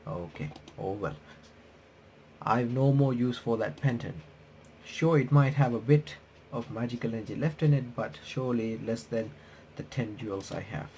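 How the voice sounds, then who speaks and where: A man's voice: mid-range voice, normal speed, a little mellow, slight background noise, poor audio. A man doing a game playthrough, reading on-screen text aloud with casual commentary, often stumbling and correcting himself. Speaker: host of a game playthrough and reaction channel.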